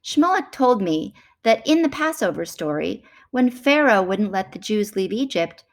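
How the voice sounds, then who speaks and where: A woman's voice: mid-range voice, expressive, unhurried, pitched above the performer's own voice. A woman voicing a confident, naive young female elephant in a children's storybook, earnest and upbeat.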